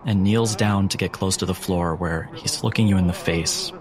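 A man working as game master, narrating a dramatic tabletop horror game deliberately, with pauses between phrases. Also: low voice, crowd noise. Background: music.

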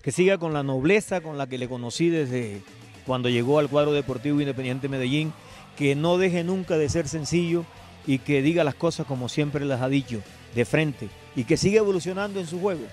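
Middle-aged man, fairly high voice. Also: fairly quick, a little mellow, soft background music. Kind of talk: interview message.

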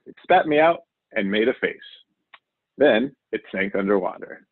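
A man's voice: fairly low pitch, normal speed, dry recording. Man acting a boastful, swooping TV survival host. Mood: boastful, excited. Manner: excitable, showy, cheerfully overconfident. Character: wild, boastful male TV survival show host. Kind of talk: children's storybook character reading. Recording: narrow, phone-like audio.

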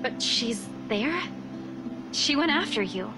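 Actress playing sweet anxious young woman, game character, high pitch, animated intonation, earnest. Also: takes it slowly, over music, poor audio. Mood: worried. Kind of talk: visual novel voice acting.

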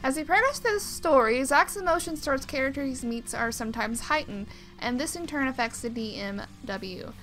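Bright, high-voiced woman gamer. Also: animated, fairly quick, poor audio. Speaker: let's play streamer. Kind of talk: casual gameplay commentary, reading on-screen text aloud. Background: game music.

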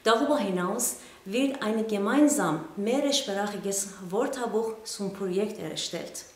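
A woman's voice: mid-range voice, slow pace. Woman coordinator in an echoey studio. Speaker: project coordinator. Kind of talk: prepared statement to camera. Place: indoor studio backdrop.

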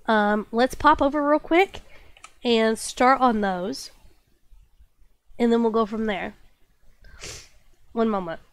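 High-pitched young woman streamer, congested and coughing, chirpy and full of fillers. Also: slow, pauses often, clear, resonant tone. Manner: bubbly, apologetic, lots of fillers. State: stuffy nose and lingering cough. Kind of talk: casual chat with stream chat.

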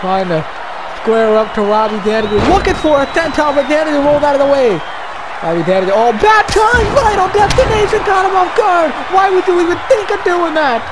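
A young man giving shouted, animated play-by-play of a wrestling video game, his voice getting hoarse. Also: very high voice, fairly quick, a loud crowd, heavy background noise. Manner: shouting, animated, often rising to yells. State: losing his voice. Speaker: commentator.

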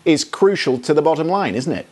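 A British man in his forties hosts a news interview with a playful touch. His voice sits fairly high for a man and moves up and down with plenty of animation. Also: animated, brisk, a little mellow, slightly rough. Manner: jokey, playful. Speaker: news presenter. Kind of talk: light-hearted broadcast interviewing. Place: TV news studio.

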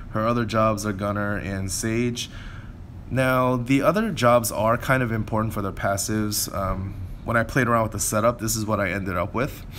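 A man's voice: fairly low pitch, fairly bright tone, slight background noise. A man giving casual, unscripted game walkthrough commentary in a conversational way, often hedging and correcting himself. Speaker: game video creator.

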